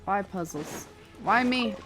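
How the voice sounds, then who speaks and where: A woman's voice: mid-range voice, slow pace, fairly bright tone. A woman game streamer chatting casually as she plays, rambling and thinking aloud. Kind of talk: casual livestream gameplay chat. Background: game music.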